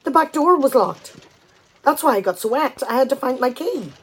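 An actress playing an exasperated, sarcastic wife in a radio play comedy, talkative and full of indignant questions. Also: female voice, high-pitched, expressive, moderate pace, slightly rough. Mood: suspicious.

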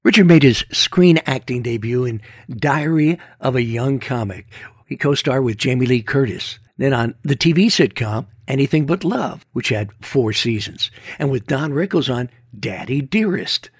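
Brief: male radio host, husky voice, close and dry, chatty scripted intro. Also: medium pitch, animated, normal speed, no room sound. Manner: conversational, chatty storyteller.

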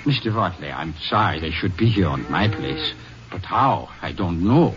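An actor playing a stubborn, anxious German immigrant farmer, protesting in halting English with a German accent in a radio drama. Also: male voice, low-pitched, animated, music in the background. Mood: bewildered. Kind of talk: 1950s radio drama acting. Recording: dull, hissy vintage radio recording.

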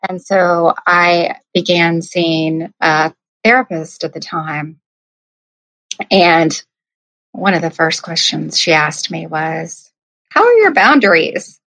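Slow, thoughtful woman life coach. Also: fairly low pitch, vocal fry, a bit bright, no room sound, poor audio. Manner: reflective, hesitant with many ums, laughs easily. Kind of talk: casual interview storytelling.

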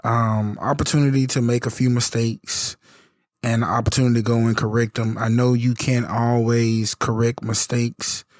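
A hoarse man hosting a casual solo podcast, talking in a halting way with many fillers and restarts. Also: medium pitch, level tone, dry recording, clean studio sound. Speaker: podcast host and youth basketball coach.

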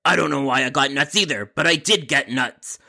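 Irate delivery customer, acted by a man: curt, insistent, gravelly and husky, piercingly tense edge. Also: mid-range voice, fairly quick, sharp tone, full character voice. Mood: irritated. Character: grumpy, impatient food delivery customer named Sharon.

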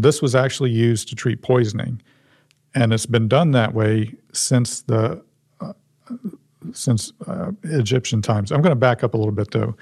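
A man, showing and explaining a museum object in a halting, restarting way. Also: mid-range voice, a little mellow, no room sound, clean studio sound.

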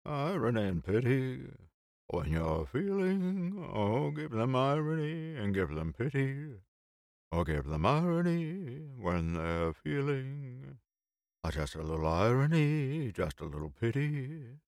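A man's voice: medium pitch, wildly swooping pitch, moderate pace, creaky, dry recording, clean studio sound, voice pushed up. A man voicing a boisterous, playfully preachy teasing man in audiobook dialogue, with emphatic, bantering delivery. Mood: mock singsong.

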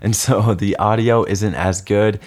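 A man's voice: lowish voice, steady tone, unhurried. A man hosting a casual podcast interview, upbeat and enthusiastic, sharing one microphone with his guest. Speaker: podcast host. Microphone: single shared microphone.

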